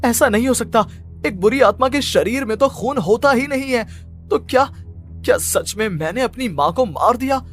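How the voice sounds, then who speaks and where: A panicked young writer in a cartoon horror tale, acted by a man. His voice is pitched high and frantic, pleading and stammering over a music bed. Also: expressive, moderate pace. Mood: horrified panic. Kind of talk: animated horror story dialogue.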